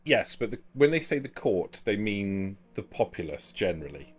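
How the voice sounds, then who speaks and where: Low-voiced man running a tabletop game. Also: big pitch swings, normal speed, breathy edge. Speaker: game master. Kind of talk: tabletop roleplay game explanation.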